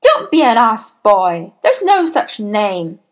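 A huge, imperious headmistress from a children's storybook. She barks and snaps with open contempt for small people, her pitch swinging widely at a slow, deliberate pace. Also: very animated. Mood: menacing. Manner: barking, snapping, imperious.

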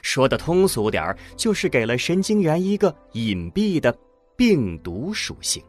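A man narrating a scripted science fiction audio drama in a dramatic storytelling manner, landing phrase endings deliberately. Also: on the high side, very animated. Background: music under the voice.

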